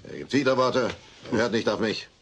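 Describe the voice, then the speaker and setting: A man's voice: on the low side, expressive, brisk, gravelly, a bit bright, slight background noise. A man playing a blunt, hapless, grumbling neighbour in a sitcom audio drama. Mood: helpless.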